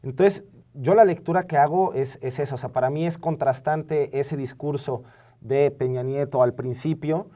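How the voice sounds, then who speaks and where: A Mexican man with a soft, dark-toned voice at medium pitch speaks as a panel co-host. He is earnest and analytical, often halting and repeating words as he builds his point. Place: TV studio.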